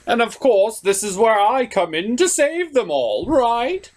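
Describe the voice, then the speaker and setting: A man performs a whiny, boastful trickster creature for a puppet comedy. The voice is pushed high and swoops around with indignation. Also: big pitch swings, measured pace, darkish tone, full character voice. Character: indignant, boastful male trickster, pleading to be included. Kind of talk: comic puppet show voice.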